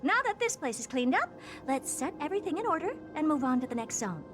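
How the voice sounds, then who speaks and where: A haughty woman scientist, speaks high with swooping intonation. She is blunt and dismissive, fully pleased with her own brilliance. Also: big pitch swings, fast talker, faint music behind. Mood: smug, dismissive. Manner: dismissive, self-satisfied. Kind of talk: video game voice acting.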